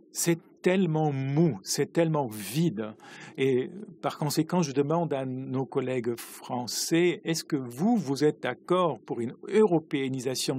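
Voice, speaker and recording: A man doing simultaneous interpretation of parliamentary speeches, halting, with uneven pacing and frequent restarts. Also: medium pitch, darkish tone, slight background noise.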